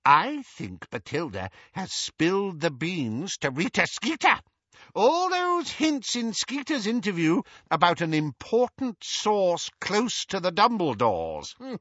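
Shrill old storybook aunt, played by a man: high, wildly swooping, gossipy, spiteful and gleeful. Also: a bit bright, no room sound, lo-fi sound, full character voice. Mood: conspiratorial. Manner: relishing scandal, emphatic swooping delivery.

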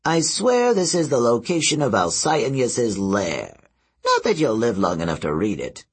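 A man voicing a wicked, gloating blind old male seer king with a husky, drawn-out delivery. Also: huge pitch swings, exaggerated voice. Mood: scheming. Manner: slow, sneering, swings wildly in pitch.

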